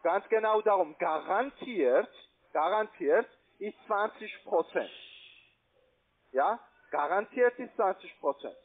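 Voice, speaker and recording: A man with a foreign accent giving a pushy, repetitive phone sales pitch in halting non-native German. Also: lively intonation. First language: not German. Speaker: call centre investment salesman. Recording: tinny band-limited phone line.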